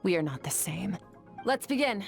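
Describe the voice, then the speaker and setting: A woman voicing a stoic, aloof warrior mentor in a video game, measured and detached. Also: medium pitch, sing-song, vocal fry, faint music behind. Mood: stern, detached. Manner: measured, detached, matter-of-fact. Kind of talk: video game character dialogue.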